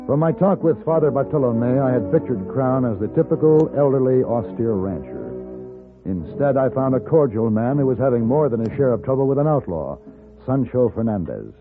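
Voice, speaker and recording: An actor playing a composed, courteous gunfighter for hire with an American accent, speaking in a polished, deliberate 1950s radio manner. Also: male voice, mid-range voice, expressive, natural voice. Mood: measured, storytelling. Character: composed, courteous gunfighter for hire, narrating his own tale. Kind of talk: 1950s Western radio acting and narration. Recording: narrow-band 1950s radio recording with music.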